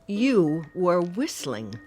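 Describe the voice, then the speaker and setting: A woman's voice: fairly low pitch, big pitch swings, drawn-out words, dark tone, a little hiss or hum, exaggerated voice. An actress in a radio drama playing a fretful, smothering older widowed mother who nags and guilt-trips with a martyred air. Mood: worried, fussing. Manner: tearful, martyred, nagging.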